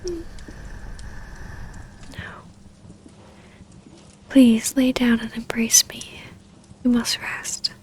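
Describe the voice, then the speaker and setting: A woman playing a tender, yearning vampire baroness in an intimate ASMR roleplay, speaking softly and breathily with hesitant, formal, old-fashioned phrasing and often sinking toward a whisper. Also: fairly high pitch, takes it slowly. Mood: soothing, devoted.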